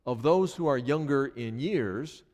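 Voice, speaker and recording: A man preaching a sermon in a church, lively and earnest, often restarting his phrases. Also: medium pitch, a little slow. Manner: animated, frequent repetitions and restarts. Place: church sanctuary. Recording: long hall reverb.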